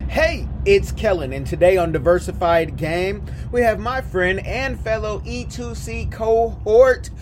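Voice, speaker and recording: A man's voice: sits high, measured pace, few pauses. A man hosting a casual interview outdoors, upbeat and enthusiastic in his questions. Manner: energetic, enthusiastic host. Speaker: podcast host. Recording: noisy outdoor recording.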